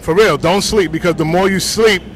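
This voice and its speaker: Streetwise New York DJ, high voice. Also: male voice, normal speed, slight background noise, low-quality recording. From New York, the Bronx. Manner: streetwise slang, fillers like "you know what I'm saying", hype shout-outs. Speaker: DJ interviewed on the street. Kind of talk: casual street interview. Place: outdoors on a city street.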